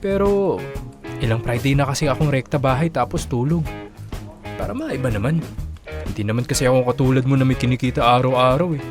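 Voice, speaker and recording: A man's voice: mid-range voice, fairly quick, long pauses, music in the background, natural voice. A man acting a playful young office buddy in a Filipino audio drama, coaxing and teasing with a Filipino accent. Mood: cajoling.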